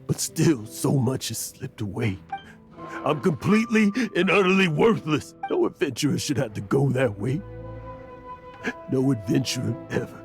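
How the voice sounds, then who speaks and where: A gravelly old male adventurer acted by a man, worn down and wistful, speaking with creaking, halting gravity. He sounds like a guilt-ridden drinker from a fantasy game, with music playing underneath. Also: mid-range voice, a little mellow, lightly acted. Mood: despairing. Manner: halting, heavy-hearted, dramatic. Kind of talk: video game voice acting.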